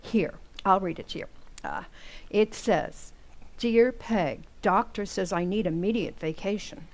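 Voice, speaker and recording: Woman acting a composed housewife, medium pitch. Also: unhurried, close to an everyday voice. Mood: fond, eager. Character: composed, affectionate housewife and mother. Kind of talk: old-time radio comedy acting.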